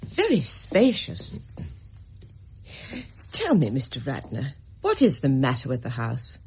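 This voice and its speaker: A woman's voice: very deep voice, wildly swooping pitch, slow pace, a little hiss or hum, heavily acted. An actress playing a composed, shrewd woman looking for a house, cool and insistent in her questions in a radio drama. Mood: probing.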